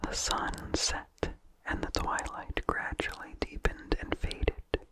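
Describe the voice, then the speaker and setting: A person reading a vintage horror story aloud for ASMR in a hushed, breathy whisper with slight mouth sounds, slow and deliberate.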